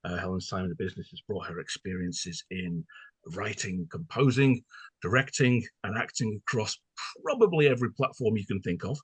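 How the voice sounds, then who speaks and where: Male interviewer, low and raspy, courteous and long-winded, recorded dry. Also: a little fast, no echo. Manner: wordy, mock-formal politeness. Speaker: podcast host and interviewer. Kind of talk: conversational interview.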